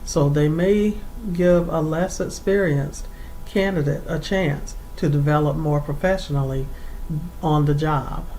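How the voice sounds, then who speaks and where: A career coach giving instructional advice in a formal, deliberate way with measured phrasing. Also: darkish tone, rough recording. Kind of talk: instructional advice to camera, semi-scripted. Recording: clear background noise with low rumble.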